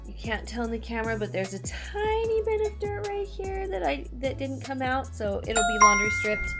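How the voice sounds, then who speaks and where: Chatty woman vlogger over prominent music. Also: medium pitch. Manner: informal, chatty. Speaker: cleaning vlog host. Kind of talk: casual product-test voice-over.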